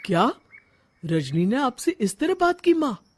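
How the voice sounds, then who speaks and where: Lady doing young husband in a cartoon, caring but insistent, pleading, very lively pitch. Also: unhurried. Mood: shocked. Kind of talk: animated moral story dialogue.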